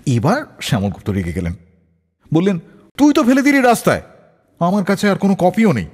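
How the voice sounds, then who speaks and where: Animated male radio storyteller, reverberant. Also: high-pitched, brisk. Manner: animated, acts out quoted dialogue. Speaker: radio show host. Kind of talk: dramatic radio storytelling between songs. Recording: long reverb on the voice.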